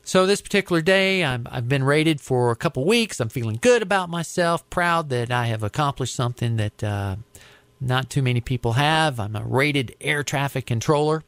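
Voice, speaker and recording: An American man recounting his work as an air traffic controller in a conversational, deliberate, explanatory way. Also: mid-range voice, animated, a bit bright, smooth, no room sound. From United States. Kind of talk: conversational storytelling interview.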